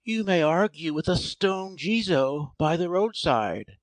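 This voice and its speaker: A man's voice: fairly high pitch, expressive, slow, dry recording. A man playing a wry, generous artist in a dramatized storybook reading, speaking emphatically.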